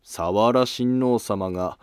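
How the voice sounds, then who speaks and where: A man's voice: fairly low pitch, slow pace, a little mellow, clear, resonant tone, no room sound, clean studio sound. A man playing a polite, probing court noble in a historical drama, speaking in formal, deferential, insinuating phrases. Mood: cautioning. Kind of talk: scripted historical drama dialogue.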